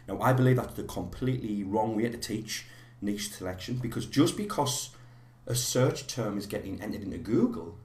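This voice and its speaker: A man with a slightly hoarse voice from a cold, teaching a live online course in a rambling way with many fillers and restarts. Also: low-pitched, darkish tone, reverberant.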